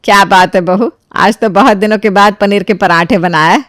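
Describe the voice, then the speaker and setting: Woman playing doting older mother-in-law, motherly, easily swayed, mid pitch, story drama, dry sound. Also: normal speed, a bit bright, no echo, close to an everyday voice. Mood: delighted. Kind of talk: dramatized Hindi moral story acting.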